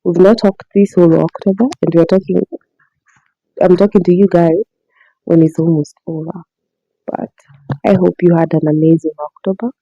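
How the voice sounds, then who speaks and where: Raspy just-woken Kenyan woman. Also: on the low side, steady tone, normal speed, long pauses, dry recording, muffled recording. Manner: soft-spoken, rambling, laughs often. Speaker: podcast host. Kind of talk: casual diary-style monologue.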